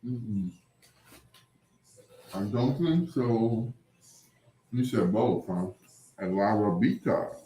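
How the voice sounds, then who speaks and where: A man chatting casually with live viewers as a livestream host, rambling and repeating phrases, with drawn-out greetings. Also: lowish voice, warm tone, slight background noise. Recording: roomy, echoing sound.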